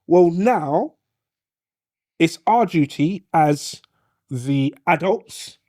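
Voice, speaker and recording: A man speaking in a casual panel discussion, animated, acting out quoted voices and often asking "do you know what I mean". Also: a little high, extremely slow, no echo, studio quality. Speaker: podcast host.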